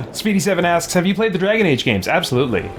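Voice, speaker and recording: A man hosting a gaming let's play with casual live commentary and animated, theatrical reactions. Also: a little high, slight background noise.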